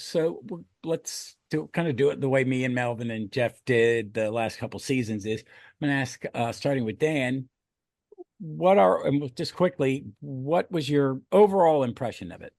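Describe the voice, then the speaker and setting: A man's voice: mid-range voice, normal speed, gravelly, darkish tone, no echo, studio quality. A man hosting a podcast in a casual, conversational style. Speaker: podcast host. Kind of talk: casual chat.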